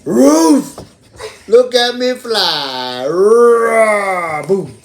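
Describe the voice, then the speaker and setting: A puppeteer acts out an excitable talking dog from a homemade puppet show. The dog brags and yelps at a slow pace. The recording has light noise. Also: mid-range voice, heavily acted. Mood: excited, boastful. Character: excitable jumping talking dog selling dog food.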